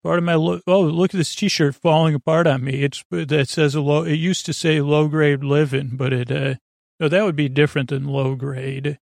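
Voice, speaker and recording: A middle-aged man hosting a bedtime podcast in a creaky voice, rambling hesitantly, trailing off and circling back on himself. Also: on the high side, steady tone, moderate pace, a little mellow, dry recording, studio quality. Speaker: podcast host. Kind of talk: rambling bedtime monologue to help listeners sleep.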